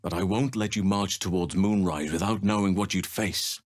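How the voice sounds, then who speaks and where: An actor playing a wise, gentle and steadfast elf druid in a fantasy video game, speaking in measured, formal phrases. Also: male voice, deep voice, dry recording, clean studio sound, lightly acted. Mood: earnest, measured. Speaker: video game companion character. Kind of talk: acted fantasy game dialogue.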